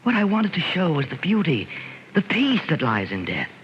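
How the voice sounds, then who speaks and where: Brooding volatile sculptor, wide dramatic swings, halting repetitive speech, old radio horror drama, muffled recording. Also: male voice, fairly high pitch, wildly swooping pitch, a little hiss or hum. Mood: fanatical. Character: obsessed, volatile male sculptor fascinated by death. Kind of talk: 1940s radio horror acting.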